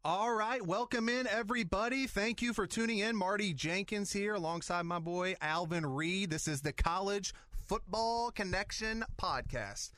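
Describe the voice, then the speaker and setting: A man's voice: sits high, normal speed, few pauses, sharp tone, dry recording. A man hosting a sports podcast, talking in an easygoing, conversational way and steering the questions. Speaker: podcast host.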